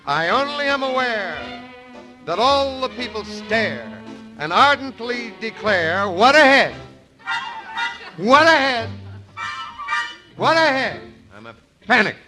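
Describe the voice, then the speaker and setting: A vain clown of a father in a vintage radio operetta. His high voice bounces widely through self-satisfied wisecracks. Music sits clearly behind the old broadcast. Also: very animated, takes it slowly, pauses often, exaggerated voice, low-quality recording. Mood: boastful. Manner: self-satisfied joking patter. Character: boastful wisecracking long-absent father, breezy vain clown. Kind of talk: 1940s radio operetta acting.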